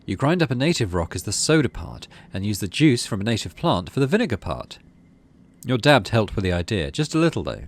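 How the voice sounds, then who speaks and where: A man's voice: on the low side, very animated, fairly quick. A man giving casual let's play commentary, reading story text aloud and murmuring to himself as he decides. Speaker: gaming channel host.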